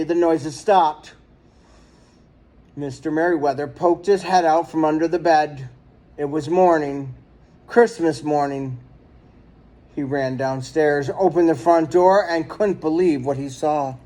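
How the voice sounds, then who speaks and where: A man reading a children's story aloud in an expressive, dramatic storytelling manner. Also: on the high side, slow, long pauses. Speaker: storybook reader.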